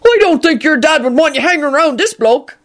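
Storybook giant gamekeeper voiced by a woman, gruff and protective, exclamatory, very animated delivery. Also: sits high, brisk, pitched above the performer's own voice. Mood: indignant. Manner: rustic, scolding, exclamatory.

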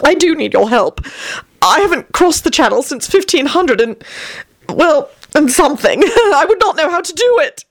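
A woman's voice: sits high, big pitch swings, moderate pace, pitched above the performer's own voice. A woman voicing a flamboyant, theatrical male vampire who shrieks and speaks in grand, old-fashioned phrases. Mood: fussy.

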